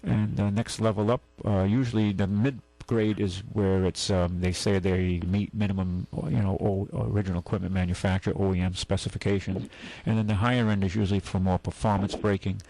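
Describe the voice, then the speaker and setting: A man's voice: deep voice, little pitch movement, a little fast, few pauses, mellow, no room sound, rough recording. A man hosting a call-in radio show, giving folksy, rambling car advice and correcting himself as he goes.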